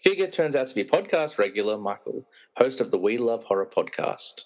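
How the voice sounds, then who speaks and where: A man with a medium-pitched voice works as narrator. He reads screenplay stage directions aloud in a scripted narrating style. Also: big pitch swings, brisk, low-quality recording.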